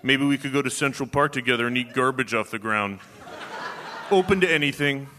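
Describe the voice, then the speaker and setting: A man performing a comic staged reading as an easygoing pet dog looking for casual romance, deadpan and casual. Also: medium pitch, a little fast, a little hiss or hum, natural voice. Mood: casual, hopeful. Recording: live theatre hall with audience.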